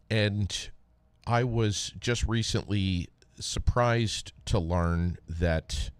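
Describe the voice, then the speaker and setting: An American man hosting a podcast, talking casually and haltingly with frequent pauses. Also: deep voice, no echo. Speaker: podcast host, former radio DJ. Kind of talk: casual solo podcast talk with read sponsor plug.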